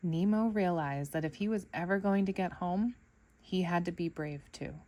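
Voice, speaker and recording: A woman's voice: fairly low pitch, normal speed, a little mellow. Woman reading a children's storybook aloud. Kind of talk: expressive read-aloud storybook narration for kids.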